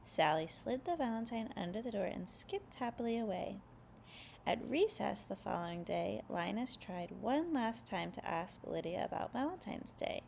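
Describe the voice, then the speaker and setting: A woman storyteller reads aloud for young children with expressive, careful phrasing. Her voice is full of creak, and there is slight noise behind it. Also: mid-range voice, moderate pace. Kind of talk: children's read-aloud narration.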